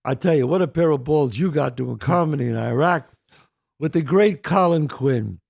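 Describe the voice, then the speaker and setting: A man's voice: on the high side, normal speed, gravelly, a little mellow, no room sound, studio quality. A man hosting an interview, introducing his guest with enthusiasm and repeating his words. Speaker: host.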